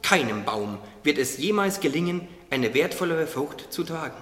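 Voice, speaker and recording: Highish, gentle wise caterpillar played by a man. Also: animated, moderate pace. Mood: solemn, encouraging. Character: wise, encouraging little caterpillar, gentle friend of the tree. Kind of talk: German radio play acting. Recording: echoey hall, music underneath.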